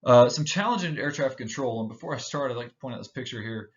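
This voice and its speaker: An American man from Houston giving an informal slide presentation, speaking hesitantly with many filled pauses. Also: medium pitch, lively intonation, dull audio. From Houston, United States. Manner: halting, hesitant delivery with frequent filled pauses. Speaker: presenter, pilot.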